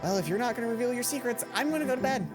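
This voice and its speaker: A woman's voice: on the high side, animated, very fast, over loud music, voice pushed up, lightly acted. A woman voicing a nosy, teasing young female student roommate with a haughty streak, in a visual novel game. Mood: haughty, huffy.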